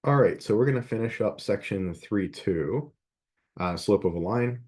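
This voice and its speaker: A man teaching a math class in a relaxed, rambling way with lots of fillers, a little sniffly and scratchy-throated from a head cold. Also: fairly low pitch, normal speed. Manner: rambling, conversational, many fillers. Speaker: math instructor. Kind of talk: classroom lecture.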